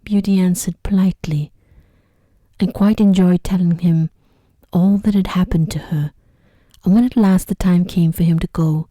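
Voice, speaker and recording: A woman reads a sleep story in a low, very mellow voice. She is soft and breathy, often slipping toward a whisper, with a soothing, unhurried delivery. The sound is close and dry. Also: dry recording. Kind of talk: ASMR bedtime story reading. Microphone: close microphone.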